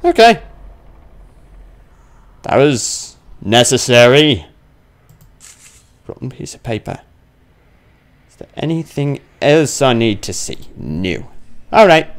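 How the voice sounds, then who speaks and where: Guy doing a lets play, slow talker w some vocal fry, grumbles and thinks out loud, playful. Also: medium pitch. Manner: animated, grumbling, playfully exclaiming. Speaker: gamer playing a horror game on his channel. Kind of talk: casual let's play commentary, thinking aloud.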